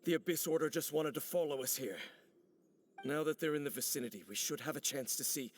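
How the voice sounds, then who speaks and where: A man voicing a serious, commanding warrior in a video game, measured and terse. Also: fairly high pitch, heavy background noise, close to an everyday voice. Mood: knowing. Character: grave, guarded male warrior, commanding and watchful.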